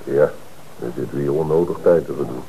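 A man's voice: rumbling low, fast, gravelly, highs cut off, slight background noise. An actor playing a dry-witted male police detective in an old radio play. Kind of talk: radio drama acting.

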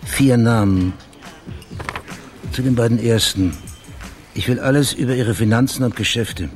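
An actor playing a tough, cynical private investigator with a dry, clipped delivery in a German radio crime drama. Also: male voice, mid-range voice, unhurried, soft background music, lightly acted. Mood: dry, businesslike.

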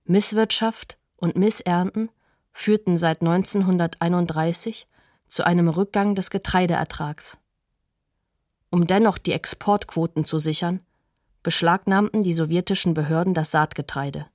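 A woman reading scripted radio documentary narration in a sober, factual, measured manner. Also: lowish voice, steady tone, a little mellow, dry recording, clean studio sound.

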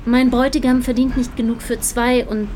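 Casting: woman as reserved young typist with quiet defiance, higher voice, faint background noise, radio play. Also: level tone, brisk, natural voice. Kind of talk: naturalistic radio drama dialogue.

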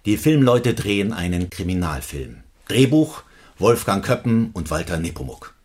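An actor in a German radio drama playing a brash, cynical screenwriter who reports in clipped phrases. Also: male voice, lowish voice, very animated, normal speed, darkish tone, slightly rough, dry recording. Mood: narrating, emphatic.

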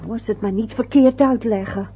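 A woman's voice: a little high, moderate pace, husky, phone-quality sound. An actress playing an anxious, fussy middle-aged mother in an old radio play, chatty and eager to please. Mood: fretful.

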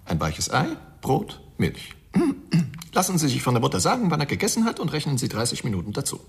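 Wry, swooping, gravelly pathologist. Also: male voice, medium pitch, huge pitch swings, brisk. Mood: matter-of-fact. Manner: wry, theatrical, matter-of-fact. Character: sardonic, unfazed male forensic pathologist. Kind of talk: German radio crime drama acting.

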